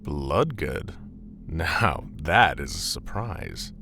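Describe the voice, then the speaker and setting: A man's voice: extremely low pitch, very animated, extremely slow, vocal fry, pushed and bright, soft background music, full character voice. A man playing an arrogant demon prince in a roleplay drama, dry, haughty and sardonic. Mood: haughty, irritated. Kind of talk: Minecraft roleplay voice acting.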